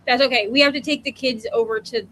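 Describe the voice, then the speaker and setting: A woman co-hosts a relaxed book chat, telling things conversationally with plenty of asides. Her voice is high and bright, and there is slight noise behind it. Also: quick pace, poor audio. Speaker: book discussion co-host. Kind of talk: casual chat, personal anecdotes.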